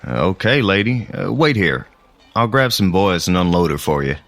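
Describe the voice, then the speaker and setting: An actor playing a blunt, gruff working-class cemetery worker in an audio drama. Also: male voice, sits low, big pitch swings, normal speed, fairly bright tone, dry recording, heavily acted. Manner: blunt, plain-spoken.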